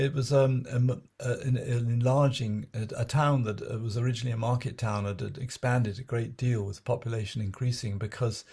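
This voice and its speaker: An older man, a retired Anglican priest, recalling his life in an unscripted, halting way, with many fillers and restarts. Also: medium pitch, gravelly, dark tone. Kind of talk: unscripted reflective memoir narration.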